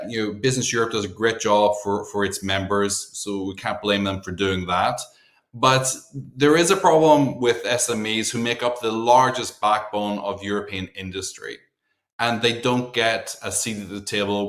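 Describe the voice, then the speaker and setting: A man with a low voice moderating a panel, recorded with a roomy echo. He puts probing, conversational questions to the speakers and draws them out. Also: fairly even intonation, moderate pace, a bit bright.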